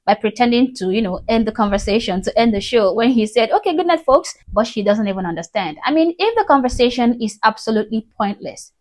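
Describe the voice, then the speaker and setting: A woman, an Igbo speaker, giving blunt, mocking reaction commentary. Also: medium pitch. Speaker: commentary host.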